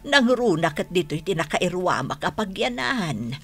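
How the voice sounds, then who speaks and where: A woman playing a gentle, frail elderly mother in a radio drama, with an Ilocano accent. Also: on the low side, very animated, measured pace, soft background music, full character voice. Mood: tender.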